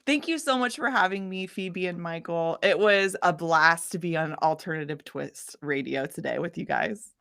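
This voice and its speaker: Casting: female indie musician and mentor, slightly low voice, enthusiastic, encouraging, conversational. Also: moderate pace, few pauses, fairly bright tone. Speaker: guest musician and songwriting mentor. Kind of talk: casual interview chat.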